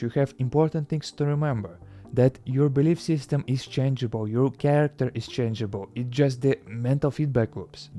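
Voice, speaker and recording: A man's voice: mid-range voice, warm tone. A young man with a Russian accent giving an earnest motivational talk to camera, with slightly non-native phrasing. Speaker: self-improvement YouTuber.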